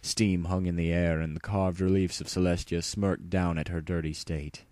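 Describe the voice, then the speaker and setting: A man's voice: deep voice, flat delivery, normal speed, a little mellow, dry recording, studio quality. A man reading a story aloud as a dramatic, scripted audiobook narration.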